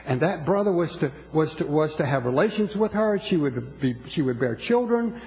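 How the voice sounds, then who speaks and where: A man's voice: on the high side, lively intonation, normal speed, creaky, darkish tone, big-room sound, low-quality recording. A man preaching a sermon to his congregation in a church, emphatic and repeating his words as he talks to them. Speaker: pastor.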